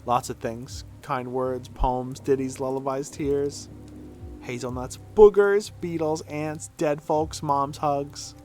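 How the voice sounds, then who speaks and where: A man's voice: medium pitch, steady tone, slow, a little mellow, soft background music, natural voice. A man voicing a bratty, mocking child who guards a playground world, with singsong teasing.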